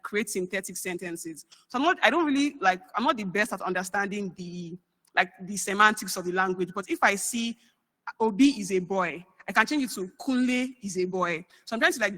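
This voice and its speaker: A woman's voice: mid-range voice, pushed and bright. A young woman giving a chatty conference research talk, full of fillers. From Nigeria. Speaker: researcher presenting.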